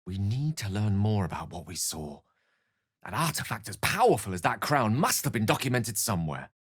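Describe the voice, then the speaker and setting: A man's voice: fairly low pitch, sing-song, moderate pace, slightly rough, dry recording, clean studio sound. A man playing an erudite, courteous and wry wizard in a video game, speaking in eloquent, theatrical sentences. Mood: musing. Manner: eloquent, articulate, theatrical, wordy. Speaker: video game character voice actor.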